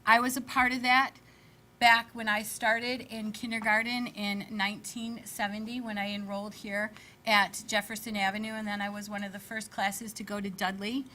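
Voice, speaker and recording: Loud, bright-voiced woman in her fifties. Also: medium pitch, normal speed, slight background noise. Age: 50s. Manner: loud, energetic, chatty. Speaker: school board candidate. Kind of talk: candidate statement at a public forum.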